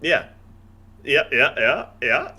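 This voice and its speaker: A man's voice: mid-range voice, very animated, slow pace, sharp tone, breathy edge. A man hosting a casual podcast discussion, steering the topics in an emphatic, playful way. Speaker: podcast host.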